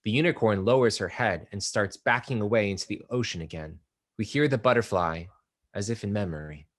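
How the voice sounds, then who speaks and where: Low-voiced man reading stage directions. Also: brisk. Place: live reading at an art exhibition.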